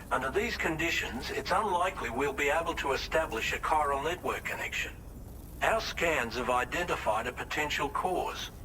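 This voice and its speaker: Bright, businesslike male mission coordinator. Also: on the high side, slight background noise, rough recording. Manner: brisk, official, matter-of-fact. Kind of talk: video game mission briefing.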